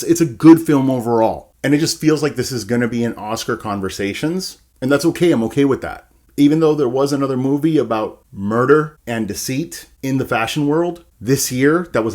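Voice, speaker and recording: A man reviewing a movie to camera, chatty and animated, with a sarcastic streak. His pitch sits right in the middle. He talks like he's ranting to a friend. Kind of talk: casual ranting film review.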